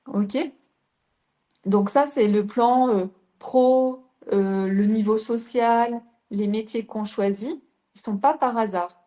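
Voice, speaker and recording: A woman's voice: a little high, fairly even intonation, takes it slowly, warm tone. A middle-aged woman from southern France, a coach giving a live talk and putting rhetorical questions to her listeners. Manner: explains with rhetorical questions to the audience.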